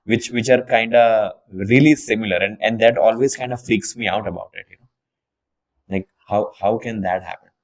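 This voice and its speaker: A man's voice: lowish voice, moderate pace. A young man from India hosting a podcast, chatting casually and restarting his sentences with repeated words as he thinks aloud. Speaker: podcast host. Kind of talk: casual interview chat.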